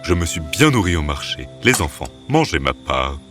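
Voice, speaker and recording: A tender, grieving cartoon father, acted by a man, with a low voice. He speaks gently and emotionally in a fairy tale, with music clearly behind him. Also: sing-song, normal speed. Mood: tender, relieved.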